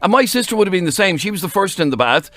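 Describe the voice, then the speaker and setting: A man with a Dublin accent hosting a radio phone-in, bantering with callers in a lively way. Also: high-pitched, very fast, dry recording, faint music behind. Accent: Irish, Dublin.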